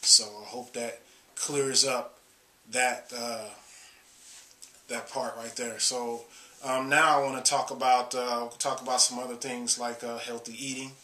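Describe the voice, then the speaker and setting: A man's voice: mid-range voice, level tone, bright, forceful tone, echoey room. A man in his late thirties, a bodybuilder, talking casually to his viewers with lots of "uh" and restarts. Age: 30s. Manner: halting, many fillers and restarts. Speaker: bodybuilder vlogger. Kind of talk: casual talk to camera.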